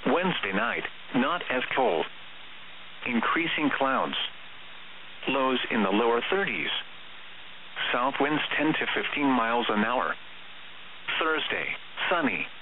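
A synthetic-sounding male announcer reads a scripted weather bulletin in a measured, formal way over broadcast radio. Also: medium pitch. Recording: hissy, narrowband radio reception.

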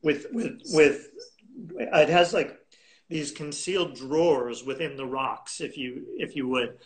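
A man in his thirties talking as a guest in a video call interview, unhurried and halting with many fillers and restarts. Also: on the high side, low-quality recording. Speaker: auction house specialist, guest. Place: home room indoors. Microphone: video call webcam.

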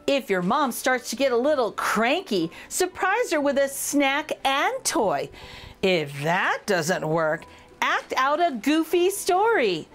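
Slow, animated woman reading to children. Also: sits high. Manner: addresses young listeners directly, playful. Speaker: picture-book reader for children. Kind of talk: expressive children's read-aloud.

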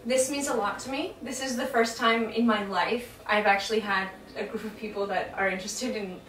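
A woman's voice: medium pitch, fairly even intonation, fairly quick, a bit bright, reverberant, recorded from a distance, a little hiss or hum, low-quality recording. A young woman vlogger hosting a housewarming gathering of friends, chatting casually, giggly and heartfelt. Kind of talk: casual chat. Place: small apartment room with friends.

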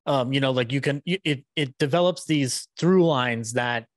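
A man in his thirties chatting casually as a podcaster, rambling and halting as he finds his words. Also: medium pitch, dry recording, clean studio sound. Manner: rambling, halting, says "like" often. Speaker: podcaster interviewer. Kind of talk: casual fan discussion. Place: small indoor room, video call. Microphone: boom-arm microphone with headset.

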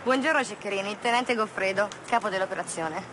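An actress playing a breezy, overconfident police lieutenant in charge, chummy and blithely reassuring. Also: female voice, mid-range voice, fast talker, noisy background, lightly acted.

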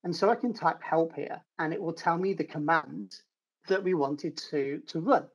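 A middle-aged British man with a husky voice explains a technical demo step by step in an informal screen-share tutorial. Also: on the high side, normal speed, highs cut off. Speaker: developer advocate giving a technical demo. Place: home room, indoors.

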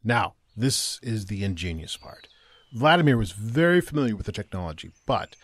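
A man playing a brainy, earnest inventor in an audio drama, with a husky voice. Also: lowish voice, big pitch swings, normal speed, noisy background. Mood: proud. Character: brainy, earnest male inventor, proud of his device.

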